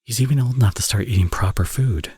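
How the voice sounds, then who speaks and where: Man acting deep, husky, teasing villain dad. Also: fast, mellow, no echo, clean studio sound. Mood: earnest, persuasive. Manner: smug, playful, conversational sighs and teasing. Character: teasing, flirty male villain, now a doting father. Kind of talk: ASMR audio roleplay, romantic drama acting.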